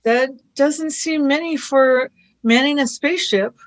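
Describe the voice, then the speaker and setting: A woman's voice: high voice, a little slow. A woman in a radio play acting an earnest, eager young companion with a pleading manner. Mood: curious, urgent.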